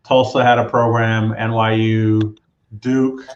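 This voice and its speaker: Low, flat-voiced man on an echoey call. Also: takes it slowly, muffled recording, slight background noise. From Southern California. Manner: relaxed, roundabout storytelling. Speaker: guest. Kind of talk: casual interview storytelling.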